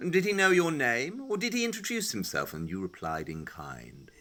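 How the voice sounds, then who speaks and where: Superior English detective for audio drama: crisp, sardonic, forceful, wildly varied pitch. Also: male voice, big pitch swings, moderate pace. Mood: condescending, dry. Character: brilliant, condescending English detective, brisk and sardonic.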